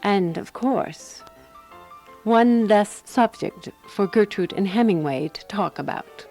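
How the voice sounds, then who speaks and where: A woman actor playing a composed, wry woman who narrates a radio drama in a measured, dry manner. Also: mid-range voice, a little mellow, faint music behind. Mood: dry, satisfied.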